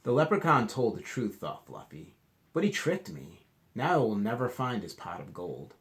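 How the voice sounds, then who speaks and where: A man doing an expressive read-aloud for children, chatting to his young listeners in asides and asking them questions directly. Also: on the low side, moderate pace. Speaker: children's storybook reader, teacher.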